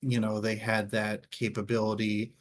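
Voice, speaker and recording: A man's voice: lowish voice, completely flat delivery, normal speed. Man thinking aloud in casual discussion. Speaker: co-host of a book discussion show.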